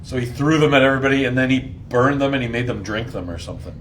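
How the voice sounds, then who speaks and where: A man hosts an informal Bible study in a slangy, joking style. He quizzes his listeners and acts out lines with gusto. There is clear background noise behind his voice. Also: mid-range voice, quick pace. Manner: slangy and jokey, acting out lines loudly. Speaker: Bible study host and teacher.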